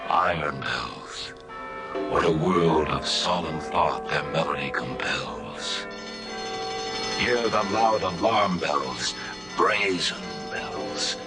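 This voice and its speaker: An actor playing a haunted, tormented Gothic horror narrator, reciting dramatically and solemnly in an audio drama. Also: male voice, rumbling low, lively intonation, gravelly, full character voice. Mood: solemn dread. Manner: slow, solemn, swelling into frenzy. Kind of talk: theatrical recitation of Gothic poems and tales. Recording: loud music under the voice.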